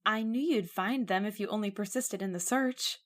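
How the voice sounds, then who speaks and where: An actress playing a cheerful young newlywed wife. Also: female voice, mid-range voice, normal speed, natural voice. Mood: playful. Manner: playful.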